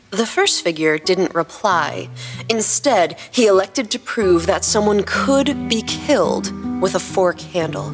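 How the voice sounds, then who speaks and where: A woman co-hosting a podcast and reading fan fiction aloud in a scripted storytelling style. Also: fairly low pitch. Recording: plucked guitar music behind.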